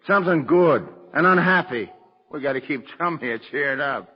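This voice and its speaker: An actor playing a cocky, hard-boiled American gangster on death row in 1940s radio drama, sneering and taunting in slangy tough talk. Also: male voice, on the high side, lively intonation, moderate pace, natural voice. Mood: loud, taunting. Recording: muffled old radio recording with long reverb.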